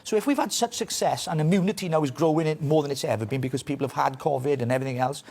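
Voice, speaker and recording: A man's voice: fairly high pitch, creaky, darkish tone. A Welsh man giving forthright, opinionated political commentary in a radio interview. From Wales. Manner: forthright, opinionated, quick delivery.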